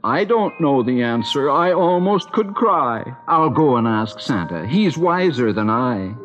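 A man voicing a kind, cheerful young male reindeer in a storybook, speaking in gentle rhymes. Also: mid-range voice, big pitch swings, a little slow, in a large hall. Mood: worried. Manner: gentle rhyming storybook delivery. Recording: dull lo-fi record with music.

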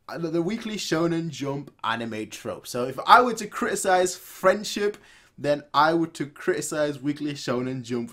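A man's voice: on the high side, fairly bright tone. A young man giving casual, off-the-cuff reaction commentary as a host, repeating phrases for emphasis. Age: young adult. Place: small room indoors.

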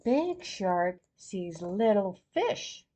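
A woman in her sixties reading a children's storybook aloud in a deliberate, expressive way for young listeners. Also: medium pitch. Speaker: grandmother host reading picture books to children. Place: small plain room at home, seated in armchair.

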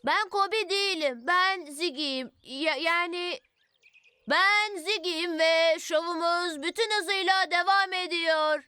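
A woman's voice: very high-pitched, slow pace, pushed and bright, full character voice. A woman playing an earnest, childlike little boy in a children's cartoon, eager to please and a bit hesitant. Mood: earnest, flustered. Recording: music behind voice.

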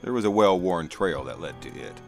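A man's voice: sits low, expressive, brisk. A middle-aged man narrating a first-person spooky story in a conversational, folksy way. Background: music.

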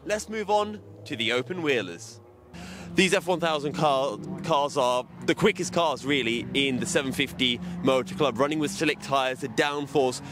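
A man's voice: medium pitch, moderate pace, noisy background. A young man presenting and interviewing on a handheld mic, outdoors in a racing paddock. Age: young adult. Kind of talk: roving paddock commentary and interviews. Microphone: handheld microphone.

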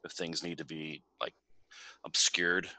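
Very deep, sharp-toned man. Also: little pitch movement, a little slow, dry recording. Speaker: tabletop game player. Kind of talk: casual in-character chat over a call.

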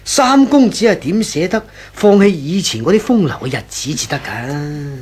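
A man's voice: sits high, big pitch swings, normal speed, a little mellow. An actor playing a hesitant, gossiping employee in a 1980s Hong Kong Cantonese radio drama, stammering and sighing as he feigns reluctance. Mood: insinuating.

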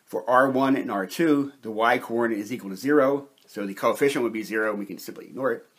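A man with a husky, medium-pitched voice teaches like a lecturer at a whiteboard. He moves step by step in a deliberate, methodical way, leaving short pauses between phrases. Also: fairly bright tone. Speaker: chemistry lecturer, presenter. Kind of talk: step-by-step instructional explanation at a whiteboard.

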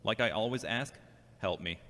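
A man's voice: lowish voice, moderate pace, bright, forceful tone. A man acting an earnest, troubled and devout man in an amateur audio drama. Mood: pleading. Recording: echoey hall with long reverb.

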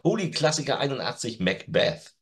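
A man's voice: medium pitch, very animated, normal speed, fairly bright tone. A middle-aged man co-hosting an audio drama news show in casual chat, with a wry, joking manner. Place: room at home.

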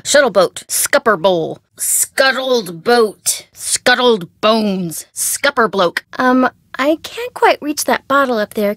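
An American actress playing a perky, curious, chatty young woman amateur sleuth in a video game, with an expressive, girlish manner. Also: a little high, no room sound, clean studio sound, exaggerated voice. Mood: upbeat. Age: young adult. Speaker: voice actor. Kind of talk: video game character dialogue.

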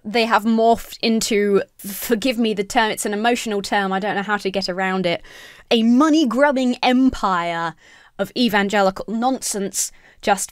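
Slightly hoarse young woman, dry sarcastic commentary. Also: mid-range voice, no room sound. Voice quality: croaky. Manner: sarcastic, animated, laughing asides. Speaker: commentary YouTuber. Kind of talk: casual commentary with sponsor read. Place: small home room, seated in gaming chair. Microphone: studio mic on stand near face.

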